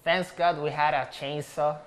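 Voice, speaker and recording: A young man with a Puerto Rican accent, a native Spanish speaker, speaks slowly and searches for his words. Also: fairly high pitch, flat delivery. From Puerto Rico.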